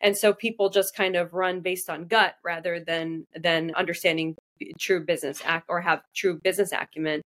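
Woman, dentist guest, low pitch, talks in quick restarting bursts. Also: fairly even intonation, a bit bright. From Iraq. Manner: fast, restarting, self-correcting speech. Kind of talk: casual interview answers.

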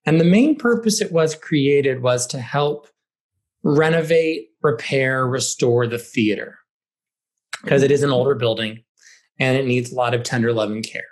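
Mid-pitched man chatting in an interview. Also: normal speed. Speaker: high school theater director, podcast guest. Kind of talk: conversational interview answers.

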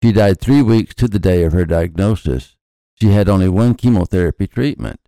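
A man's voice: sits low, normal speed, mellow, clear, resonant tone, no room sound. An older man reading a scripted personal introduction as a podcast host.

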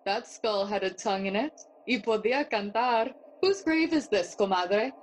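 An actress playing young Hamlet, a witty, brooding and curious noble, in a theatrical bilingual Spanish-English Shakespeare audio play. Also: female voice, mid-range voice, animated, moderate pace, close to an everyday voice, rough recording. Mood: curious, wry. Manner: musing, questioning, theatrical.

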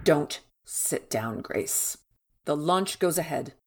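A woman's voice: sits low, animated, slow pace, darkish tone, exaggerated voice. A woman playing a slick, ruthless female CEO villain in an audio drama, with a cool, imperious, dismissive manner. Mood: commanding, insistent. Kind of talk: fiction podcast audio drama acting.

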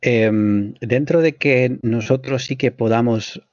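A man from Spain with a Spanish accent, a guest on a podcast, talking in a measured, thoughtful way with many hesitations. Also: mid-range voice, a little mellow, no room sound. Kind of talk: casual podcast conversation. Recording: dull, muffled dry audio.

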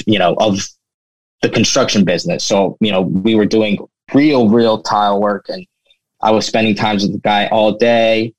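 A young man speaks as an interview guest, telling his story in a loose, casual way. His voice sits a little low and is peppered with "you know." The recording is close and dry. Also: no echo, rough recording. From United States, born in Turkey. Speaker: interview guest, flooring business owner.

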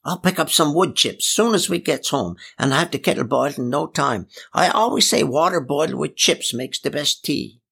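A man's voice: on the high side, animated, normal speed, gravelly, hardly any pauses, bright, forceful tone, slightly rough, pitched above the performer's own voice, exaggerated voice. A man voicing a kindly, chattering old village aunt with a Newfoundland accent and homely dialect in a storybook reading. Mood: contented.

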